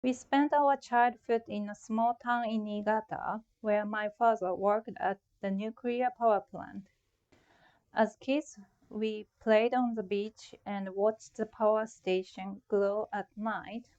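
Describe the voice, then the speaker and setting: A woman's voice: medium pitch, fairly even intonation, slow, darkish tone, highs cut off. A middle-aged woman from Japan with a Japanese accent, giving a prepared, reflective talk about her own art. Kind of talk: prepared artist talk presentation.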